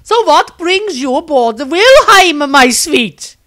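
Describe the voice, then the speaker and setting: Overbearing lovestruck nun: very high, piercing and bright, syllables stretched slowly, fantasy audiobook. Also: male voice, very animated, full character voice. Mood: jovial, flirty. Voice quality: shrill. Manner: gushing, drawn-out, overly enthusiastic. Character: burly, besotted, overbearing middle-aged nun with shrill gushing glee.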